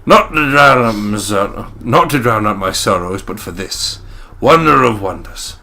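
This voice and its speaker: A man reading a visual novel aloud dramatically as a let's play host, swearing and breaking into excitable joking commentary, with game music behind him. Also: fairly low pitch, measured pace, gravelly. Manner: excitable, sweary, jokey ad-libs.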